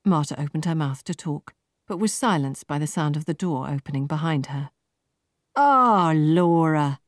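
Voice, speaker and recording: A woman's voice: low voice, brisk, darkish tone, no room sound, clean studio sound. A woman reading scripted fiction as an audiobook narrator.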